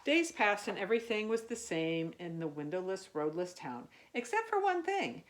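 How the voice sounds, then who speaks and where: A woman reading a children's picture book aloud as a storyteller, expressive and musing, as if wondering aloud to young listeners. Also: mid-range voice, normal speed. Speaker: youth ministry storyteller.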